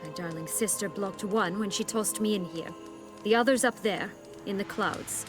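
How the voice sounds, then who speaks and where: An actress playing a proud, bitter exiled noblewoman in a video game, with haughty, formal, measured speech. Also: medium pitch, over music, natural voice. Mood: brisk, imperious.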